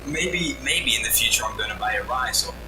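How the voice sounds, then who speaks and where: A man teases his friends with crude jokes over voice chat and laughs often. His mic sits far away in an echoey space, with loud noise behind him. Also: medium pitch, animated, fairly quick. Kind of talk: casual voice chat banter.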